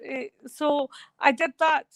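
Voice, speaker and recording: A woman's voice: high-pitched, fairly even intonation, takes it slowly, a bit bright, no echo. A woman talking as a guest in a remote radio interview, rambling and hesitant with many fillers. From Scotland.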